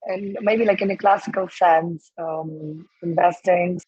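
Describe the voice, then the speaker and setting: A woman in her thirties from Ukraine, hoarse and losing her voice, talking casually as a guest with many fillers and restarts. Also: fairly low pitch, steady tone, measured pace, darkish tone, no room sound, muffled recording. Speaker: guest, startup co-founder. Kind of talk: casual interview answers.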